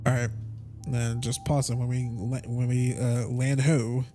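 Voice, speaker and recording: A man's voice: medium pitch, flat delivery, a little slow, dark tone, faint music behind. A man doing casual, slangy live gameplay commentary, thinking aloud as he plays. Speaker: video game livestreamer.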